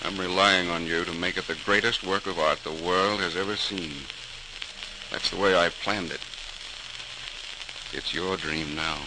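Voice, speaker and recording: Driven engineer patriarch, low and forceful, declamatory period radio style, hissy old transcription. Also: male voice, steady tone, measured pace, pauses often. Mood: grave, commanding. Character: driven, determined bridge engineer, commanding father. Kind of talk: 1940s radio drama acting.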